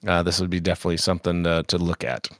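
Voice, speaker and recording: A man's voice: sits low, monotone, very fast, fairly bright tone, no echo, studio quality. A man with a head cold co-hosting a casual podcast, rambling with lots of fillers and restarts and laughing often. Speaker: podcast co-host. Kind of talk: casual chat about amateur radio news.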